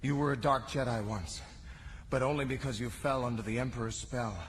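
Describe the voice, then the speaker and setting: An actor playing a calm, merciful Jedi Master in an audio drama, speaking in earnest, measured declarations. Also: male voice, mid-range voice, a little hiss or hum, natural voice. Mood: gentle, solemn. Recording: loud music behind the voice.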